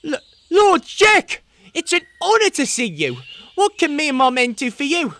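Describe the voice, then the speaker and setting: Wooden toy soldier, very high and swooping, servile, flustered, fantasy audio drama. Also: male voice, huge pitch swings, moderate pace, pushed and bright, heavily acted. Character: bumbling, eager male wooden nutcracker soldier captain.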